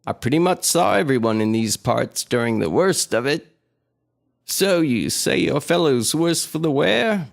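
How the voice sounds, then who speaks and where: A man's voice: a little high, lively intonation, measured pace, creaky. A man playing an older small-town family doctor in a radio horror drama, speaking in an earnest, dramatic way. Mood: affable. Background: faint music.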